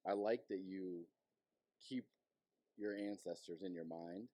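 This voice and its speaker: A young man hosts a casual podcast in a low, mellow voice. He talks in a relaxed, candid way and swears offhandedly. The studio sound is close and dry. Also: measured pace, no room sound. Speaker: podcast host.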